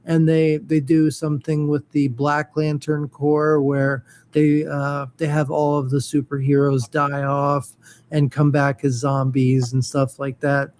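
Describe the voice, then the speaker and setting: A man's voice: on the high side, monotone, darkish tone. A man chatting casually about comic-book shows, hesitant and full of fillers and restarts. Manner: halting, many fillers and restarts. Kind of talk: casual geeky chat.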